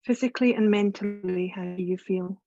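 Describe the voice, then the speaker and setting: A woman leading a guided meditation as a yoga teacher, speaking in a soothing, unhurried, instructive way. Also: medium pitch, gravelly, dark tone, dry recording, muffled recording, soft background music. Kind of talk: guided meditation, scripted narration.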